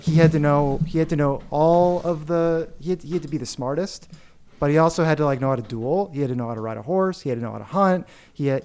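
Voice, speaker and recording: Halting, thoughtful podcast host man. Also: medium pitch, a little mellow, smooth. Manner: halting, thoughtful and easily amused. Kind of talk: casual chat.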